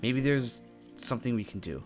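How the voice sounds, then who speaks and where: A man playing a confident young demon mage in an improvised Minecraft fantasy roleplay, speaking in a halting, conversational way and often checking for agreement. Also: medium pitch, lively intonation, natural voice. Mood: determined. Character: confident young male demon mage, casual, secretive, determined. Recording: voice over background music.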